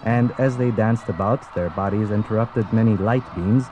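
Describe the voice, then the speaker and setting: A man reading scripted narration as a narrator, in a formal, measured announcer's delivery. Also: on the low side, low-quality recording. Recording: music behind the voice.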